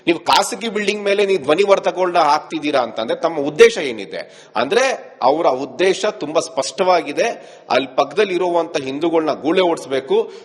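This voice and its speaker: A man's voice: on the high side, expressive, moderate pace, long reverb tail. A man with a Karnataka accent giving an emphatic, accusatory statement to a reporter, repeating his phrases. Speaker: activist leader being interviewed. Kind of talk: spoken statement to a news reporter.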